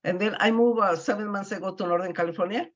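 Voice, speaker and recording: A woman from Mexico, a guest on a video call, introducing herself in a halting way that repeats words. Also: mid-range voice. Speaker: meeting guest. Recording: dull lo-fi call audio.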